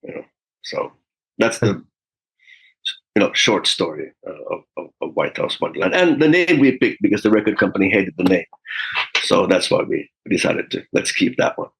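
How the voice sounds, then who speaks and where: A man in his fifties, a guest musician, telling stories in a relaxed interview with frequent fillers and small laughs. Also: deep voice, moderate pace, creaky, husky, rough recording. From Finland. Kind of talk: casual interview talk.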